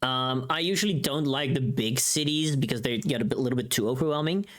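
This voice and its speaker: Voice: medium pitch, fairly quick. A livestreaming VTuber with a mid-range voice, talking casually to chat. The delivery is loose and rambling, teasing and informal, with a bit of mild swearing.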